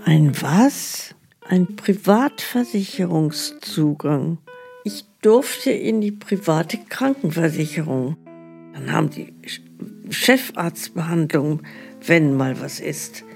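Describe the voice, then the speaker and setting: An actress playing a hesitant, gullible elderly care home resident in a naturalistic radio drama, with a husky, creaky voice. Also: female voice, deep voice, expressive, a little mellow, faint music behind, heavily acted. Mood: confiding, aggrieved. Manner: slow, hesitant, naively questioning.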